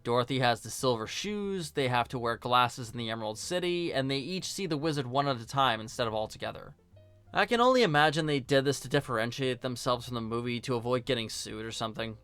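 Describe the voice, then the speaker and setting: A man's voice: medium pitch, expressive, fast, fairly bright tone, clear, resonant tone, no room sound, soft background music. A man narrating a scripted film review in a casual, joking, sarcastic and colloquial way. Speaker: video host and film reviewer.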